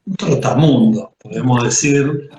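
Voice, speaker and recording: A man's voice: mid-range voice, measured pace, warm tone. Man co-hosting in an echoey room. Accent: Argentine Spanish. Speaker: co-host. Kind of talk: casual interview chat. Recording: echoey room with faint noise.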